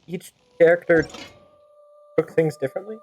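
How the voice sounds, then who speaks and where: A woman's voice: low voice, moderate pace, dark tone. A woman game streamer improvising wry, teasing commentary and joking replies to the characters. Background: game music.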